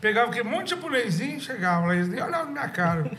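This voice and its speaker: A man, a podcast guest telling stories in a casual, animated way, laughing often and using many fillers. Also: very high-pitched, racing, slight background noise. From Brazil. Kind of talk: casual chat, storytelling.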